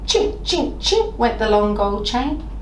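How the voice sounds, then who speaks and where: Lady reading a kids picture book, slow n sing-song, bit far from the mic in a roomy space. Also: a little high. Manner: expressive, deliberate, sing-song on repeated phrases. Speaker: library staff member reading stories. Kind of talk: children's storybook read-aloud. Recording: roomy echo, off-mic, faint noise.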